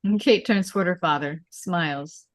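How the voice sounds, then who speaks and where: Casting: female stage-directions narrator, lowish voice, clipped storytelling phrases, close dry recording. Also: normal speed, dry recording. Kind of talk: scripted narration of screenplay directions.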